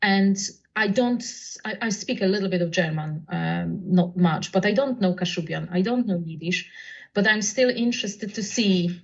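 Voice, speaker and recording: Woman, thoughtful, searching for words, mid-pitched voice, dull lo-fi audio. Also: moderate pace, creaky. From Poland. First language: Polish. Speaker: writer and translator. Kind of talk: reflective conversation about language.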